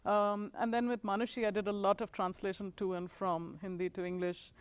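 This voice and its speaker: A woman in her forties from India, answering questions in a recorded oral history interview. She speaks in a measured, reflective way, and her voice often fades at the ends of her thoughts. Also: medium pitch, level tone, clear, resonant tone. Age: 40s. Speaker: interviewee, author and professor.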